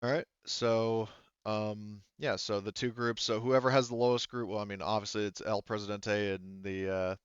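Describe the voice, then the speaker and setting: A man working as game master, talking casually through tabletop rules in a halting way, thinking aloud. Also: lowish voice, fairly even intonation, smooth, dry recording, muffled recording.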